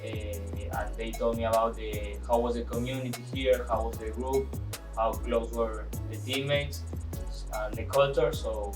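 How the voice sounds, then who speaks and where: A man's voice: medium pitch, steady tone, a little slow. A man in his twenties from Argentina with an Argentine Spanish accent, speaking English as a second language with frequent restarts and "eh" fillers in a documentary interview. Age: 20s. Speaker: university soccer midfielder. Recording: echoey room, distant mic, faint music.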